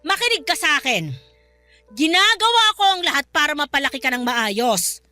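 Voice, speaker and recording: Fed-up strict mom, super high sharp voice, scolding, radio drama. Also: female voice, very animated, moderate pace, no room sound, soft background music, exaggerated voice. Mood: pleading. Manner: scolding, emotional, forceful. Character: exasperated, strict, scolding single mother of a college son.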